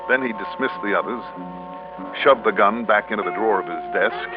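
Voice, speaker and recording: Male actor as tough, clipped American private investigator narrating a vintage radio drama. Lowish voice, thin band-limited sound. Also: brisk, phone-quality sound, music in the background, natural voice. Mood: wry, narrating. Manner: clipped, wry, hard-boiled. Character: cool tough insurance investigator narrating his case. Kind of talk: classic American radio drama acting, first-person narration.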